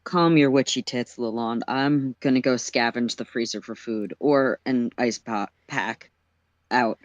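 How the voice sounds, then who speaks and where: A performer voicing a guarded, slangy teenage boy with a deadpan, evasive manner in a fan fiction reading. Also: mid-range voice, a little slow, no echo, close to an everyday voice. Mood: deadpan, teasing.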